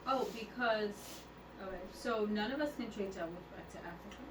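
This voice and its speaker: A woman's voice: mid-range voice, moderate pace. A woman in a class, asking questions and answering with frequent short agreements. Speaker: class member. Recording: distant, roomy, with clear noise.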